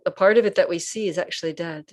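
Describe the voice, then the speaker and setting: Low-voiced woman guiding a meditation. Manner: gentle, unhurried, pausing between short phrases. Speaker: Buddhist nun and meditation teacher. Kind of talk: guided meditation. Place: online group session over video call.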